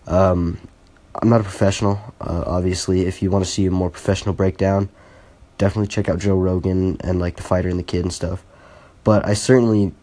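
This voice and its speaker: A teenage boy talks his way through a casual solo podcast, hesitant and full of fillers and restarts. His voice sits low and sounds mellow and soft-edged. He comes across earnest and a bit self-conscious. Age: teens. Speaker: podcast host.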